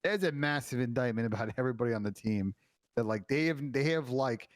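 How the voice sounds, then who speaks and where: A young man talks esports as a panel co-host and gives opinions on teams and players, often hedging with "I think". His voice is mid-pitched and recorded dry, right up close. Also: animated, fast talker, no echo. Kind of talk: casual esports chat.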